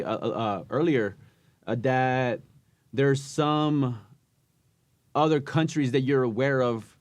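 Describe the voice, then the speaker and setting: A man hosting a podcast, talking in a halting way with many restarts as he searches for words. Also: medium pitch, darkish tone, dry recording. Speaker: podcast host. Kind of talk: casual podcast interview.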